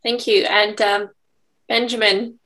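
A woman's voice: mid-range voice, little pitch movement, takes it slowly, pushed and bright, rough recording. A woman hosting a podcast, politely asking her guests questions and prompting them with short acknowledgements. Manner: polite, brief backchannels. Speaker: podcast host. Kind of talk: interview questions.

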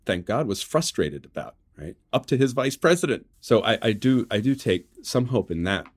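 An American man giving political commentary as an interview guest, measured and halting as he restarts phrases to qualify his points. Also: on the low side, sing-song, no room sound, clean studio sound. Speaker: interview guest, journalist and author.

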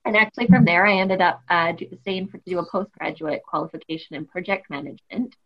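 A woman's voice: on the low side, fast. A Canadian woman in her thirties answering interview questions in a friendly, fluent way, with frequent ums. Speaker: grant proposal manager. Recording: muffled lo-fi audio.

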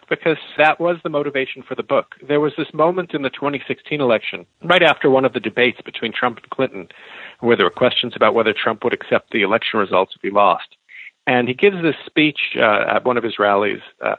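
A man's voice: medium pitch, no echo, phone-quality sound. An American man speaking as an expert guest, explaining his points in answer to interview questions. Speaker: expert guest, law professor and author.

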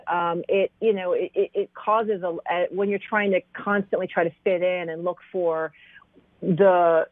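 A middle-aged woman from Iraq, raised in Kentucky, answering interview questions in a halting way with many restarts and fillers, heard over a phone line. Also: fairly low pitch, dry recording. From born in Iraq, raised in Kentucky. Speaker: author guest.

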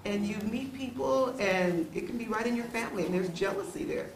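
A woman's voice: a little high, a little fast. A middle-aged woman speaking personally and conversationally in a discussion. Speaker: discussion participant. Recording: roomy, with faint noise.

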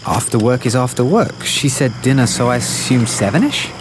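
A man's voice: medium pitch, expressive, normal speed, noisy background, natural voice. An actor playing an anxious, polite scientist in a naturalistic audio drama, hesitant in his speech. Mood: casual. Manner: hesitant, stammering when alarmed. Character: anxious scientist, a husband, polite but rattled.

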